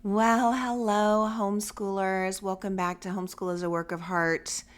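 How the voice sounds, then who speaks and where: A woman's voice: mid-range voice, little pitch movement, unhurried, clear, resonant tone, soft background music. A middle-aged woman hosting a podcast, getting over a cold, speaking to listeners in a reassuring, conversational and emphatic way. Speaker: podcast host for homeschool parents. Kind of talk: encouraging solo podcast talk, addressing listeners directly.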